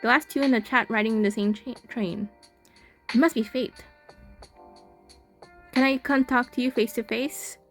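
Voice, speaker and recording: A woman's voice: a little high, steady tone, a little slow, pauses often, faint music behind. A woman playing an eerie, over-friendly and insistent mysterious stalker, reading coaxingly from a visual novel script. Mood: eager.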